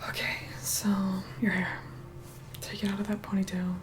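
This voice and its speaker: A woman playing an aloof, reluctantly caring vampire woman in an ASMR roleplay, soft-spoken and breathy, often whispering, slow and condescending. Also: medium pitch, level tone, noisy background, natural voice. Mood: bossy, aloof. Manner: slow, condescending, grudgingly kind. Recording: loud music behind the voice. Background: music.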